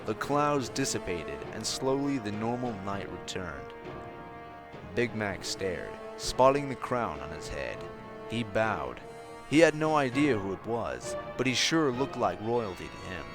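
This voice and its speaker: A man reading a fan fiction story aloud in an expressive narrating style. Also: fairly low pitch, a little slow. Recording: music behind the voice. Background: background music.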